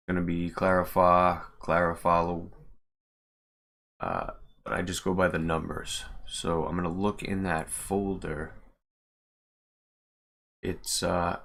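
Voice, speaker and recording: A man casually narrating a screen-recorded software tutorial as a mod creator, thinking aloud as he works. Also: sits low, vocal fry. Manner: thinking aloud while working. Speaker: game mod creator and host.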